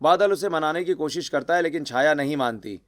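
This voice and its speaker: A man's voice: a little high, fairly quick. A man narrating a scripted cartoon story.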